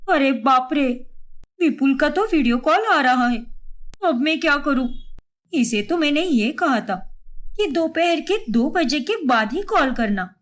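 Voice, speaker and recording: A woman voicing a scheming, sharp-tongued young wife in a cartoon story, speaking in a theatrical, defensive way. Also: high voice, expressive, unhurried. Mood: panicked.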